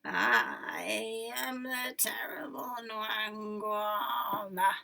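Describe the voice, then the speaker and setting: A woman doing a slow, menacing mountain monster. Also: medium pitch, very animated, vocal fry, pushed and bright, far from the mic, heavily acted. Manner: slow, drawn-out menacing speech.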